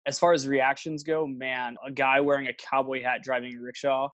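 A man's voice: medium pitch, level tone, normal speed, fairly bright tone, no echo, muffled recording. A young man, a travel vlogger, chatting casually as an interview guest and telling stories with lots of fillers and restarts. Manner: rambling storyteller, many fillers and restarts.

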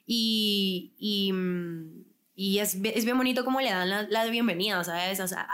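Guatemalan woman, interview guest, easygoing chat, lots of fillers. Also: medium pitch. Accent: Guatemalan Spanish. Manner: relaxed, with filler words and frequent restarts. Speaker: guest, singer and actress. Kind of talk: casual interview conversation.

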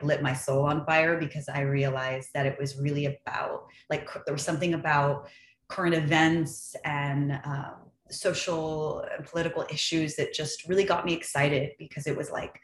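Female podcast guest, American, lower voice, chatty personal storytelling, roomy sound with some hum. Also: steady tone, normal speed. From Los Angeles area, California. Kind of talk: conversational interview answers, personal storytelling. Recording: echoey room with faint hum noise.

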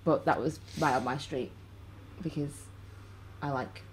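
A woman's voice: sits low, a little slow, slight background noise. A woman with a Yorkshire accent, a guest and vlogger chatting casually and rambling a little. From England. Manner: chatty, rambling, laughs easily. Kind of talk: casual chat.

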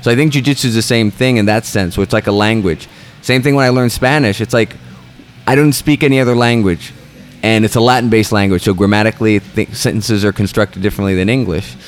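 Low-voiced man talking in loose rambling chat. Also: fairly even intonation, quick pace, soft background music. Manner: rambling, restarts sentences often. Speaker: guest. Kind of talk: casual conversation.

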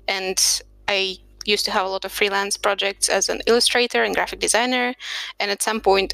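A woman's voice: medium pitch, vocal fry, fairly bright tone, dry recording, low-quality recording. A woman from Russia who is a podcast guest, talking through her career in a reflective, chatty way, recorded at a home desk surrounded by books. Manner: reflective, chatty, light laughs. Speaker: podcast guest, product designer. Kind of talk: casual interview chat.

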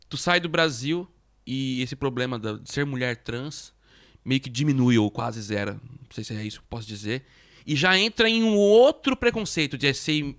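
A man co-hosting a podcast who talks in an animated, informal way and leans on filler words. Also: fairly high pitch. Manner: animated, informal, repeats "tipo". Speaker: podcast co-host. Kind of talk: casual podcast conversation.

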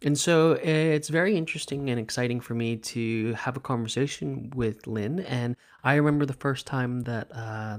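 A man hosting a podcast conversation as a meditation teacher, speaking in a calm, gentle, measured way. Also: medium pitch.

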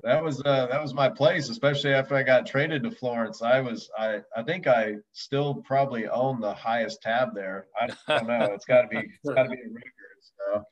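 The sound is dull and slightly lo-fi. A man with a medium-pitched voice tells stories casually as a podcast guest, in loose, slangy, colloquial phrasing. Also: fairly even intonation, moderate pace, hardly any pauses. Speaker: podcast guest, former professional baseball pitcher. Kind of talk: casual chat, storytelling.

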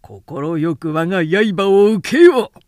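A woman as booming, boastful enemy general. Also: animated, takes it slowly, darkish tone, no echo, studio quality. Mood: fierce challenge. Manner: booming, declamatory battle challenge.

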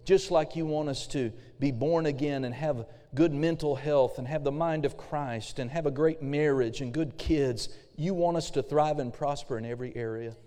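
A middle-aged male pastor preaching a fervent sermon with frequent amens, his voice slightly hoarse and a little raspy. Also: a little high, moderate pace, hardly any pauses, dark tone. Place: church sanctuary. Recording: long hall reverb.

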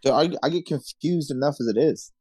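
A man's voice: a little high, brisk, dark tone, breathy edge, no echo. A man co-hosting a comedy podcast, tossing out short, slangy wisecracks in a laid-back way. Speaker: podcast co-host. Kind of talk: casual comedic banter.

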